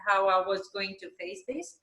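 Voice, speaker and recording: A woman's voice: medium pitch, moderate pace, pushed and bright. A woman in her twenties from Albania with an Albanian accent, answering interview questions conversationally in a second language, repeating short phrases for emphasis. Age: 20s. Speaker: interview guest, math teacher. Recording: roomy, echoing sound.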